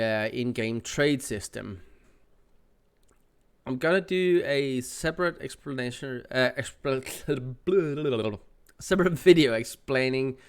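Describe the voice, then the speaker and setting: Male channel host, nasal with a cold, clear tone, loose rambling delivery full of fillers. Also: medium pitch. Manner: rambling, with many fillers and restarts. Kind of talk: casual channel update.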